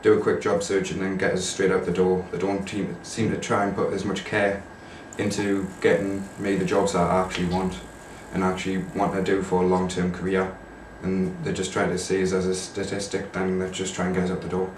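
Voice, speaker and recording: A man's voice: low voice, little pitch movement, fairly quick, few pauses, a little hiss or hum. A nineteen-year-old man, speaking in a weary, complaining way in an interview. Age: teens. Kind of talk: casual interview answers. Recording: echoey room, distant mic.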